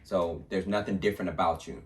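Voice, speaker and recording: A man in a relaxed family chat, explaining things to viewers. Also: low voice, a little fast, a little hiss or hum. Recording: distant mic in a room.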